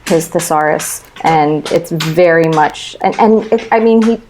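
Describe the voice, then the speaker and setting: A woman's voice: deep voice, unhurried, darkish tone. A woman speaking as a podcast guest in a casual book discussion, wry and sarcastic, swearing casually. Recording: noticeable background noise.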